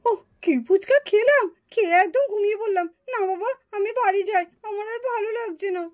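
A woman's voice: very high-pitched, brisk. A woman voicing a whiny, innocent little schoolchild doll in a puppet cartoon, with a plaintive manner. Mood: tired, whining. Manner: whining, plaintive.

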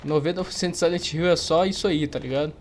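A man's voice: a little high, fairly even intonation, fast. Young Brazilian man gaming over noisy ambience. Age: young adult. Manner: slangy, joking, rambling. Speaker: gaming streamer. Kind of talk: casual gameplay commentary. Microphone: close desk microphone. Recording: noisy, game ambience and typing. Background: game ambience, keyboard typing.

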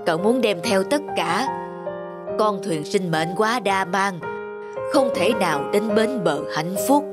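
An actor playing a weary, wise old male boatman in an animated fable, speaking in a grave, measured, admonishing way. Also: medium pitch, natural voice. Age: older. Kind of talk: animated moral fable dubbing. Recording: loud music behind the voice.

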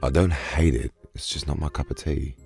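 Man as extremely deep, gravelly laconic young man. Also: moderate pace, a little mellow, soft background music. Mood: awkward, unimpressed. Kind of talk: amateur comic dub acting.

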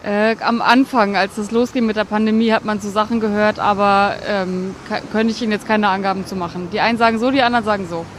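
A woman's voice: mid-range voice, steady tone, fast, hardly any pauses. A middle-aged woman answering casually as a passer-by, outdoors into a handheld microphone. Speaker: interviewed passer-by. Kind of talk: casual street interview. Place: busy city street outdoors. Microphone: interviewer's handheld microphone, speaker in face mask. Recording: street traffic noise behind.

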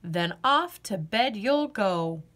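A woman reading a children's picture book aloud, playful and expressive, acting out the yawns as she goes. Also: mid-range voice, takes it slowly, slight background noise.

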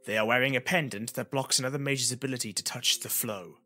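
A man voicing a calm, stoic female warrior, speaking evenly and quietly with grave compassion in a fantasy story, over music. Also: mid-range voice. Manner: even, quiet, measured.

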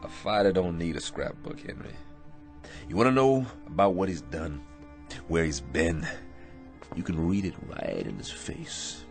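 A low-pitched, battered American prizefighter, gentle and humble, talks plainly and wistfully. It is radio drama, with music clearly underneath. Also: male voice, lively intonation, measured pace. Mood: weary, reflective. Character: weary, battered aging boxer, gentle and humble. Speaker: actor.

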